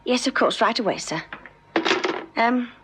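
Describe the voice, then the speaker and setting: An actress playing a polite, efficient, deferential newspaper secretary in a radio drama. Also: female voice, a little high, a little slow, noisy background, close to an everyday voice. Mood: polite, obliging. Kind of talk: old South African radio drama acting.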